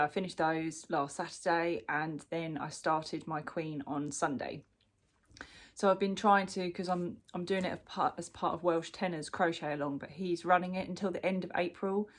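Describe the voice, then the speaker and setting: A woman from the UK chatting casually through a crochet work-in-progress update, sounding bunged up from a cold, with lots of ums and restarts. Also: low voice. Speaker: crochet vlogger. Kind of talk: casual show-and-tell chat.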